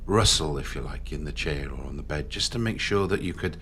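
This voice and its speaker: A man leading a guided meditation in a slow, soothing manner with long pauses. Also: extremely low pitch, animated, a bit bright, a little hiss or hum. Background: background music.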